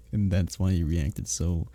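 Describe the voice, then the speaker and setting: Man playing a dark-voiced, breathy, flirtatious medieval innkeeper. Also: deep voice, no room sound. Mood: playful, apologetic. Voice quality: breathy, soft. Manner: flirtatious, courtly, soft chuckles between phrases. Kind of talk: intimate ASMR roleplay with flowery old-fashioned speech.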